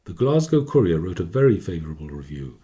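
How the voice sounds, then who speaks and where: Deep, very dark-voiced male narrator. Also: very animated, fast. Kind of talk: scripted documentary narration.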